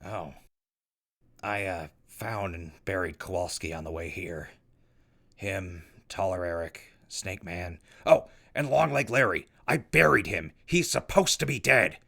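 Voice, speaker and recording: An actor in an audio drama playing a gruff, exasperated and weary wasteland survivor with a raspy voice. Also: male voice, low voice, expressive, unhurried, sharp tone, full character voice. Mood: weary, earnest.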